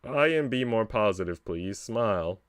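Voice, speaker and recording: A man's voice: fairly low pitch, animated, normal speed, clear, resonant tone, dry recording, natural voice. A man reading aloud the part of an exasperated guardian scolding a young girl in a visual novel. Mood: admonishing.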